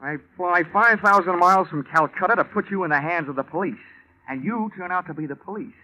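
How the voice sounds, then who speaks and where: An actor playing a hard-boiled American insurance investigator in an old-time radio drama, wry, slangy and terse. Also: male voice, high voice, brisk, pushed and bright. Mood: wary, wry. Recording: muffled vintage radio recording.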